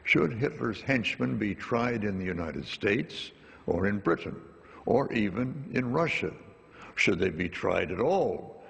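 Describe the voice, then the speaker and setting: A man's voice: on the low side, animated, a little slow, gravelly, fairly bright tone, husky. An older man giving scripted documentary narration as a veteran correspondent. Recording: faint noise, hall reverb.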